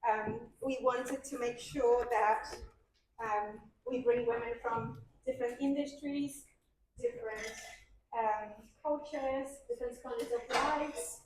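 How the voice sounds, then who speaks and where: Female event host, higher-pitched, hesitant and halting as she introduces a speaker, heard through very heavy room echo. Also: steady tone. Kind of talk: informal spoken introduction. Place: live conference event. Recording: very echoey, distant mic, noisy.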